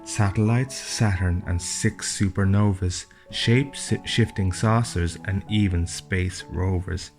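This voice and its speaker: Man, low register, father reading a bedtime picture book with casual playful asides over faint music. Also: steady tone, slow pace, hardly any pauses, darkish tone. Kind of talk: storybook read-aloud with casual asides. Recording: music playing behind the voice. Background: plucked guitar music.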